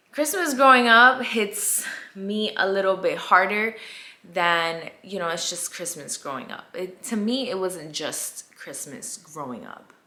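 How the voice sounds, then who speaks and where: A woman's voice: fairly low pitch, bright, forceful tone, reverberant. A Colombian-born woman in her twenties, telling a candid storytime to camera, chatty, repeating words and dropping into whispered asides. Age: 20s. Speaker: vlogger. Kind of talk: casual storytime chat to camera.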